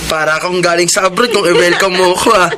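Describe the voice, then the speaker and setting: A man's voice: high voice, steady tone, fast, lightly acted. A man with a Filipino accent playing an easygoing, teasing young older brother in a radio drama. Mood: amused, casual. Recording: clear background noise.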